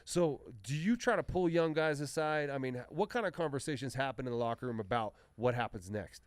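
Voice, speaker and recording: A man's voice: mid-range voice, expressive, brisk, dry recording. A man hosting a podcast, asking laid-back questions and chiming in with short agreements. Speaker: podcast host. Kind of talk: casual interview chat.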